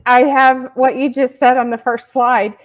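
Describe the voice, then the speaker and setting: A woman's voice: high-pitched, steady tone, a little slow, muffled recording. A woman therapist explaining tips in a casual video chat, earnest and explanatory, recorded over a webcam. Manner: earnest, explanatory, laughs easily. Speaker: therapist guest and regular. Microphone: video call webcam.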